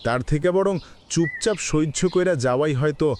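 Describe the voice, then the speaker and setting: A man's voice: fairly high pitch, moderate pace, close to an everyday voice. An actor playing a sorrowful, resigned old father in a cartoon, speaking plaintively with a rural Bangladeshi Bengali accent. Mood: despairing. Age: older. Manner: plaintive, reflective speechmaking. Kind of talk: melodramatic cartoon voice acting.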